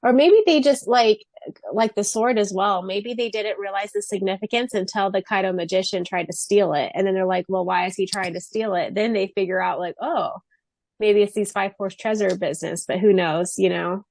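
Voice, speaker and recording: A woman's voice: mid-range voice, normal speed, a little mellow, no echo. A woman co-hosting a podcast in a chatty, informal book discussion, giggly as she talks. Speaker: podcast co-host. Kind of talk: casual book discussion chat.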